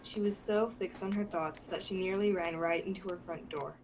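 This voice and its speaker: A woman's voice: fairly low pitch, steady tone, moderate pace, darkish tone, rough recording. A young woman reading scripted narration for a radio theatre play. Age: young adult.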